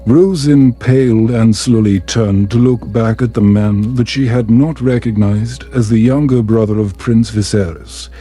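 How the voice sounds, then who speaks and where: A man narrating a calm bedtime story in a measured, soothing storybook manner. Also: medium pitch, faint music behind.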